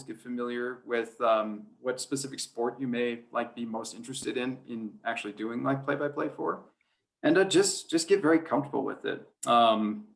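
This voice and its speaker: A man's voice: medium pitch, gravelly, low-quality recording. A man who works as a play-by-play announcer, chatting casually and without affectation in an interview. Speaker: high school sports radio play-by-play announcer.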